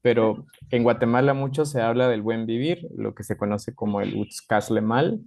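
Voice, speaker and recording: A man interviewing a guest on a video call, speaking gently and softly with hesitant fillers. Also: mid-range voice, moderate pace, vocal fry, no room sound, clean studio sound. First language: not a Mayan language speaker. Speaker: interviewer, host. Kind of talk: polite interview questions.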